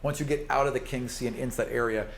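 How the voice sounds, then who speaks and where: A man's voice: mid-range voice, steady tone, very fast, creaky. A man narrating a tabletop roleplaying game as its game master, improvising the descriptions as he goes. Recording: roomy sound with faint background noise.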